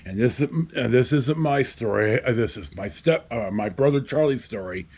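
A man in his fifties hosting a casual storytime to camera, with a halting, wry delivery. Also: mid-range voice, darkish tone, slightly rough. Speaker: host. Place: covered outdoor patio at a house.

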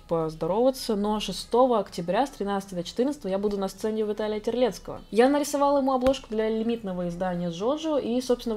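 A woman's voice: mid-range voice, mellow. Chatty young woman vlogging from her desk. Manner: chatty and self-deprecating, with quick asides. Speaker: artist and video blogger. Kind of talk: casual vlog chat while drawing. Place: home room with computer setup.

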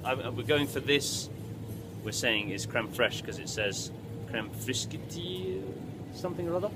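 A man's voice: medium pitch, lively intonation, measured pace, background noise. A young man vlogging casually from a supermarket on a handheld camera, making dry, jokey asides. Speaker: co-host vlogger. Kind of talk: casual vlog chat. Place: supermarket indoors.

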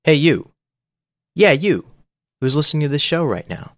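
A man's voice: on the high side, big pitch swings, normal speed, dry recording, clean studio sound. A man reading a scripted promo for a podcast, playful and addressing the listener directly.

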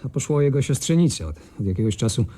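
An actor playing a tough, streetwise ex-commando in a radio crime play, talking in colloquial slang. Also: male voice, mid-range voice, expressive, rapid-fire, dark tone, natural voice. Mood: grim. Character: tough, streetwise ex-commando gang member reporting back.

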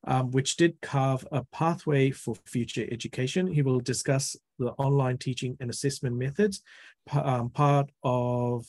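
A middle-aged man hosting a webinar on a video call from a home office, introducing the speaker in a measured way with many fillers. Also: mid-range voice, steady tone, a little mellow, dry recording. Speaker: webinar host.

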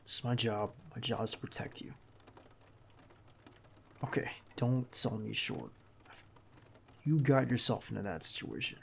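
Man acting a gravelly, protective bodyguard, reassuring. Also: medium pitch, slight background noise. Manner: hushed, halting, reassuring, close to the listener. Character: protective young male bodyguard, calm and reassuring. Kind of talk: intimate ASMR roleplay acting.

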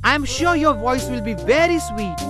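Cunning storybook fox, acted by a woman, smooth-talking flatterer, high register, children's music underneath. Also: normal speed. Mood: sweetly flattering. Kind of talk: children's cartoon story acting.

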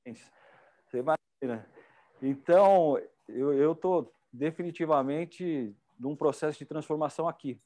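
A middle-aged Brazilian man hosts from a studio stage on a lapel mic. His voice sits a little high, and he talks in a chatty, enthusiastic way. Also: measured pace, warm tone. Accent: Brazilian Portuguese. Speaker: event host. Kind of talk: casual hosting chat.

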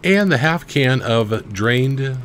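A man's voice: medium pitch, lively intonation, takes it slowly, fairly bright tone. A man hosting a home cooking show, explaining ingredients and measurements step by step. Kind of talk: recipe instruction voice-over.

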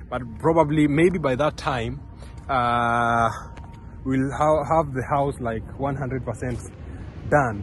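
A man's voice: mid-range voice, a little hiss or hum. A young man from Kenya talking earnestly to his phone camera while walking outdoors, rambling with many restarts and fillers. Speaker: vlogger. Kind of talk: casual vlog talk to camera. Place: outdoors on a park path beside a road. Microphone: phone camera held close.

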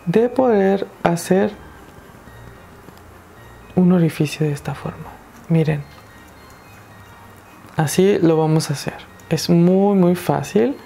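A woman's voice: lowish voice, creaky, dark tone. A young woman with a Mexican Spanish accent hosting a craft tutorial, chatting and encouraging viewers as she works. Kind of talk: casual DIY tutorial talk.

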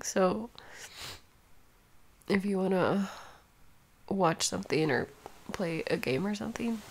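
A woman playing a sleepy, sick, clingy girlfriend in an intimate audio roleplay, speaking softly and haltingly, often near a whisper. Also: medium pitch. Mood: sleepy, affectionate.

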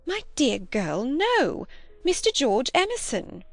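A woman's voice: high-pitched, wildly swooping pitch, slow pace, no echo, faint music behind, pitched above the performer's own voice, heavily acted. A woman voicing a prim, moralizing older spinster cousin, emphatic and plaintive, in an audiobook. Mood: prim, insistent. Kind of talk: audiobook character acting.

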